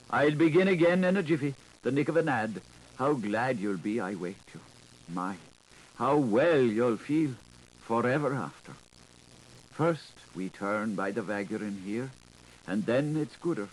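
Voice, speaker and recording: A man reading a literary audiobook as a dramatic monologue, in an expressive, musing and intimate way with shifting emphasis. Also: mid-range voice. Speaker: audiobook reader. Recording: noisy 1980s audiobook recording.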